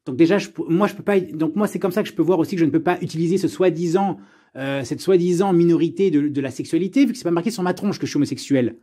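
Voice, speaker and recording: High-voiced, exasperated man ranting. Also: fast, mellow. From France. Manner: exasperated, sarcastic, blunt, swearing. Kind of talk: unscripted personal rant.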